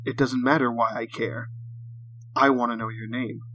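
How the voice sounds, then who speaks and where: A man voicing a troubled, hesitant would-be killer in a story, speaking in a measured, probing way. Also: mid-range voice, little pitch movement, a bit bright, a little hiss or hum, natural voice, poor audio. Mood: controlled, probing. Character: bereaved, hesitant man with a gun, seeking a kill.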